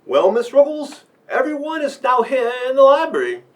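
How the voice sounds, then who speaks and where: A stuffy aristocrat acted by a man in a radio whodunit, proper yet stammering. The voice is high and animated, recorded slightly far off. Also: normal speed. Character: stuffy, flustered lord of the manor. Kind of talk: old-time comic radio mystery acting.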